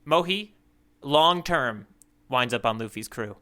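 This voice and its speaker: Bright, forceful male podcast co-host. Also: medium pitch, expressive, a little slow. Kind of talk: casual comic podcast chat.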